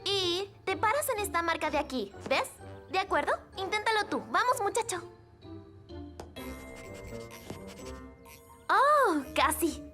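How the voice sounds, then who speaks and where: Encouraging young girl, very high pitch, chirpy, instructive, children's animation, faint music. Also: sing-song, moderate pace, bright, forceful tone, heavily acted. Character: perky, encouraging young girl teaching dance. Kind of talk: animated children's series dub.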